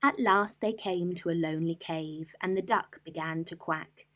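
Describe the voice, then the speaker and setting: A woman reading a rhyming bedtime story with dramatic, deliberate, rhythmic expression. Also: on the low side, a bit bright.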